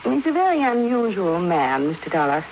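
An actress playing a composed, well-to-do wife in her thirties, measured and formal, in 1950s radio drama style. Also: mid-range voice, big pitch swings. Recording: muffled, hissy 1950s radio recording.

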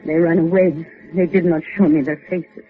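An actress playing a grief-stricken, frightened Cuban mother in a 1950s radio melodrama, speaking halting, formal English. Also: lowish voice, fairly even intonation, close to an everyday voice. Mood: fearful. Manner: slow, halting, formal English without contractions. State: distraught. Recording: narrow-band old 1950s radio, faint music.

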